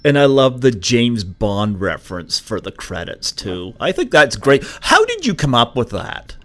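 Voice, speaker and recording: A man's voice: medium pitch, huge pitch swings, a little slow, a bit bright. A man hosting a podcast, chatting casually with a guest, opinionated and chuckling between remarks. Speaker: podcast host. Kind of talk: casual interview chat.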